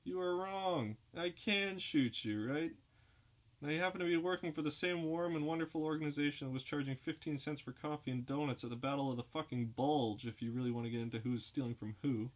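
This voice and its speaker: A man's voice: a little high, a little fast, pitched above the performer's own voice, lightly acted. A man voicing a blunt, sneering young sailor in an audiobook reading. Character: tough-talking young sailor with a gun.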